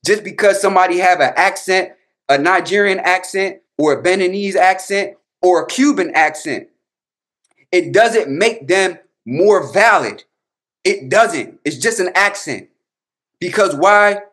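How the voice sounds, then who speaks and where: High-pitched, combative American man. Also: animated, a little slow, fairly bright tone. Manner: combative, emphatic, repeats slangy jabs. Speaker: host, babalawo commentator. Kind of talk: reaction commentary, casual rant.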